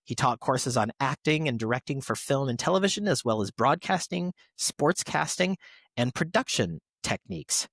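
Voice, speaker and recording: A man's voice: mid-range voice, expressive, normal speed, vocal fry, a bit bright, slightly rough, dry recording. Male podcast host in a very dry recording. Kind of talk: reading birthday tributes to actors, semi-scripted podcast segment.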